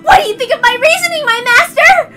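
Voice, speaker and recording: A woman voicing an unhinged, boastful young woman detective in a dramatic story reading, frantic and shouting. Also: very high voice, fast, very noisy, pitched above the performer's own voice. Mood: gloating, frantic. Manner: frantic, shouting, cackling outbursts.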